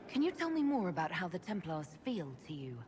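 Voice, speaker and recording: An actress playing a composed, inquisitive mage leader in a video game, asking measured, direct questions. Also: female voice, fairly low pitch, big pitch swings, darkish tone, a little hiss or hum. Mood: calm, curious. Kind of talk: video game dialogue acting.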